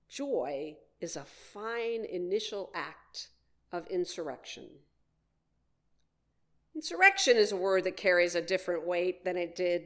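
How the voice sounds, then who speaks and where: A woman minister delivering a sermon in deliberate phrases, with frequent pauses. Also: mid-range voice. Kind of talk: sermon with read quotations.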